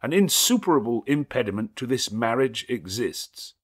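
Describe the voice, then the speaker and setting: A man voicing a resolute, formal gentleman in an audiobook, speaking distinctly, calmly and steadily as an accuser. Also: medium pitch, voice pushed up. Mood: firm, calm. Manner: distinct, calm, steady, deliberate. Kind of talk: audiobook character acting.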